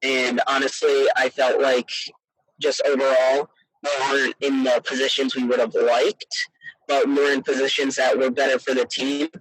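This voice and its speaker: A man's voice: mid-range voice, flat delivery, normal speed, a bit bright, slightly rough, no echo, poor audio. A teenage male student athlete answering casually in an interview, earnest and hesitant, leaning often on verbal fillers. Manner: hesitant, earnest, peppered with "you know".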